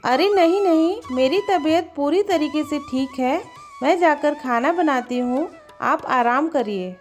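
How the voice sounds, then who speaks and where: A woman's voice: high-pitched, measured pace, soft background music. A woman voicing a farmer's scheming young wife in a moral story cartoon, with an exclamatory, dramatic delivery. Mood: concerned.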